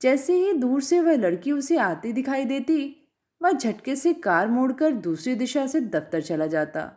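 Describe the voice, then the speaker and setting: High-pitched woman narrating, lively intonation. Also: a little slow. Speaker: storyteller reading literary fiction aloud. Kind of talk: scripted audiobook-style story narration.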